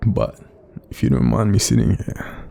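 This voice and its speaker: An Australian man voicing a kindly, apologetic sleep paralysis demon in an intimate audio roleplay, soft-spoken, hesitant and breathy, gently reassuring the listener. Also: big pitch swings, normal speed, heavily acted. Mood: sheepish. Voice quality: very deep, breathy, creaky.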